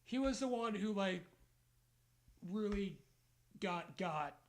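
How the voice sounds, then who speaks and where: A man's voice: sits high, lively intonation, slow pace. A man co-hosting a comedic read-aloud of fan fiction, mock-serious, breaking off for joking asides. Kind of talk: comedic dramatic reading with asides.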